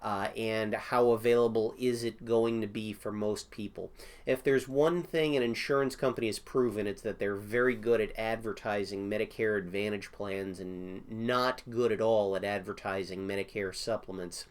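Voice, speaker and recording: A man's voice: on the low side, steady tone, normal speed. A man getting over a cold, now and then coughing, hosting a casual question-and-answer video about insurance as an agent, thinking aloud with many fillers. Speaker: Medicare insurance agent host.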